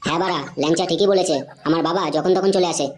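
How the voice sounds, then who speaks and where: A woman voicing a crude, excitable young male slacker in a comedy cartoon, with slangy banter. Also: fairly low pitch, very fast, noisy background, natural voice. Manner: foul-mouthed, slangy banter.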